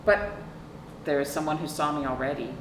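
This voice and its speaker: A middle-aged woman hosting a children's storytime, reading aloud with chatty asides, expressive drawn-out emphasis and questions to young listeners. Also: sits low. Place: small indoor room, seated on a couch. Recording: roomy, distant mic with clear background noise.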